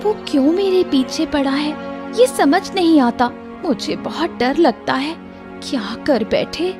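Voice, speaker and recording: A woman voicing a timid, gentle young elder sister in a story, anxious and pleading. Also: sits high, slow, over music, pitched above the performer's own voice. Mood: fearful.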